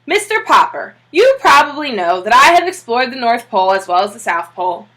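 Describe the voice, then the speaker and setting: Woman acting a hearty explorer admiral, bright and lively. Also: fairly high pitch, a little fast. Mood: hearty, confident. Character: Admiral Drake, great bearded hearty explorer man.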